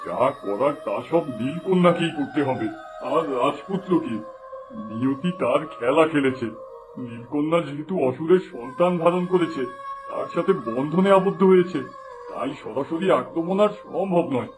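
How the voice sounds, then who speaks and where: A man's voice: fairly high pitch, animated, moderate pace, music in the background, close to an everyday voice, rough recording. A man voicing a grave, fatherly old wise man in a fairy-tale cartoon, speaking solemnly.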